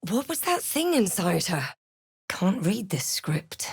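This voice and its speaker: A woman voicing a wry, resolute adventurer and spellcaster in a fantasy game, with measured, dramatic delivery. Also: medium pitch, big pitch swings, gravelly, husky, no room sound, clean studio sound, exaggerated voice. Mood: wry, guarded, then hushed whisper. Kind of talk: fantasy game voice lines: narration, remarks, incantations.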